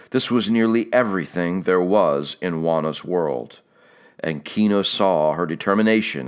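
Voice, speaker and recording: A man narrating a literary audiobook in a measured, dramatic storytelling manner. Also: deep voice, clear, resonant tone.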